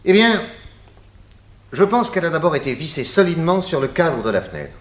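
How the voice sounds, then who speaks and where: A man's voice: fairly high pitch, sing-song, brisk, roomy sound. An actor playing a shrewd, authoritative male master detective in a French radio serial, methodical in his questions and explanations. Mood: confident, emphatic.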